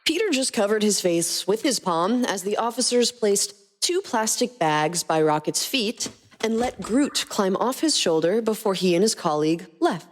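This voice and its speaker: A woman hosting a fan fiction podcast, speaking in a light, expressive storytelling style. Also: mid-range voice, normal speed.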